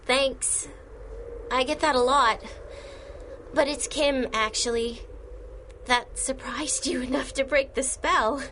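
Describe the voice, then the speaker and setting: An actress playing an earnest, plucky young superheroine from the future in a radio drama. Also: female voice, sits high, fairly even intonation, takes it slowly, pauses often, sharp tone, a little hiss or hum, exaggerated voice. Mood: earnest, urgent.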